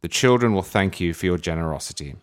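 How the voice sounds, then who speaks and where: A man's voice: low voice, lively intonation, fast talker. A man hosting his podcast with a dry, wordy, mock-grandiose manner before interviewing a guest. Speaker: podcast host. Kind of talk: scripted comic monologue and interview.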